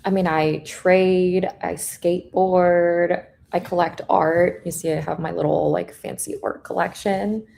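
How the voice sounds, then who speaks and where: A woman's voice: lowish voice, fairly even intonation, a little slow, gravelly, a little mellow. A young woman whose first language is Korean, talking bluntly and casually with lots of fillers and swearing on a live stream. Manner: blunt, profane, filler-heavy, laughs often. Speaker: guest trader. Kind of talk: casual chat.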